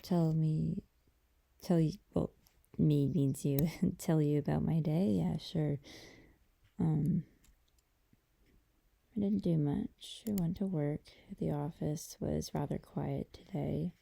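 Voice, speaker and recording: A woman playing a gentle, affectionate girlfriend in an intimate ASMR roleplay, talking softly and hesitantly to a partner. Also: fairly even intonation, heavily acted. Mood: relaxed, affectionate. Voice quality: dark, creaky low voice. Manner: soft, hesitant, unhurried conversational delivery.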